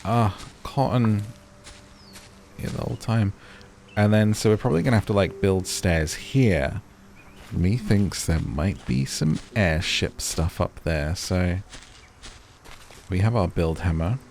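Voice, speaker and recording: A man's voice: sits low, slow, pauses often, darkish tone, slight background noise. A man doing casual gaming commentary, chatting and musing to himself and to viewers as he plays and reads out on-screen text. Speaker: gaming video host.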